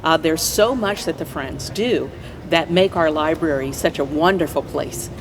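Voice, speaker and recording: A woman guest in a conversational interview, explaining things agreeably in halting phrases. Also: deep voice. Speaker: community theater player, interview guest. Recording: faint noise and background ambience.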